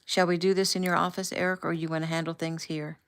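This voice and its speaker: Brief: narrator reading a terse male security chief, low gravelly voice, clipped orders. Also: little pitch movement, fast, natural voice. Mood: brisk.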